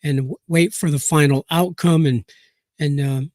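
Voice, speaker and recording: A man from Nebraska hosting a boxing news live stream, hoarse from a cold, reading aloud haltingly with many fillers and restarts. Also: fairly high pitch, fairly even intonation, darkish tone, dry recording, studio quality.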